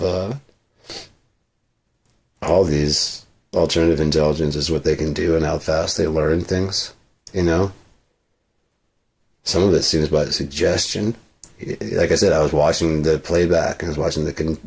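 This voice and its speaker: A man's voice: rumbling low, a little mellow, breathy edge. A man talking casually on a livestream, rambling and swearing freely, into a processed studio microphone.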